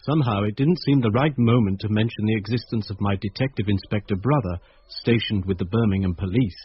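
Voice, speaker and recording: An actor playing a thoughtful schoolmaster who narrates a radio drama in a measured, literary, British voice. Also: male voice, fairly low pitch, slightly rough. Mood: reflective, ominous. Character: thoughtful mathematics schoolmaster recalling uncanny events. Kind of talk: scripted first-person radio drama narration. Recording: muffled radio drama, loud music behind.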